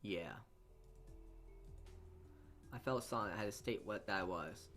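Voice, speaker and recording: A man's voice: on the low side, a little fast, fairly bright tone, soft background music. A man reading visual novel narration aloud as a let's play host, stumbling on words and repeating phrases.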